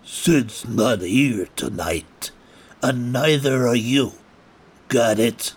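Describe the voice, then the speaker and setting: A man's voice: mid-range voice, drawn-out words, breathy edge, voice pushed down, heavily acted. A man voicing a stern, hulking American soldier in a storybook reading, with an American accent and a clipped, menacing delivery.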